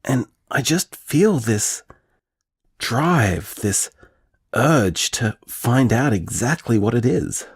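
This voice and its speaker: A man's voice: mid-range voice, expressive, slow pace, husky, exaggerated voice. An actor playing an earnest, restless young man in a naturalistic audio drama, speaking hesitantly and reflectively as if leaving voicemails. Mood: hopeful, pensive.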